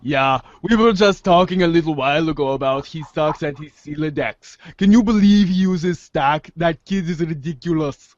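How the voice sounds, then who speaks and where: A man playing a laid-back, too-cool teenage boy with a slangy, halting, nonchalant drawl in a fan audio drama. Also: on the high side, no echo, natural voice. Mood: smug, chill. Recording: close, dull lo-fi sound.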